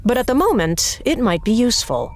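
A woman narrating an audiobook in an even, measured storytelling manner. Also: fairly high pitch, noisy background.

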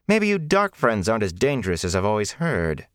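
A man's voice: lowish voice, wildly swooping pitch, a little fast, no room sound, clean studio sound, voice pushed up. A man voicing a wry, suspicious, impatient young farm lad in an audiobook, brusque and tense. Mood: grim, wry. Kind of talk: audiobook character acting.

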